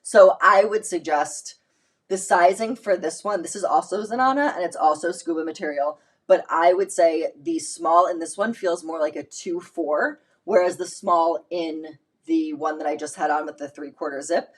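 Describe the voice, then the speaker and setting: A woman with a slightly low voice talks in a chatty, emphatic, informal way, like a host of a live clothing try-on. Her voice is going a little from a lingering cold, and her delivery stays casual and conversational. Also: normal speed.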